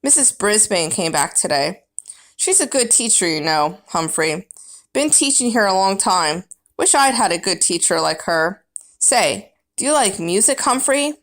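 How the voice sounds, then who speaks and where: A woman's voice: medium pitch, lively intonation, unhurried, a bit bright. A woman voicing a friendly, jovial male night janitor in a storybook, hearty and playful. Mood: chatty, warm.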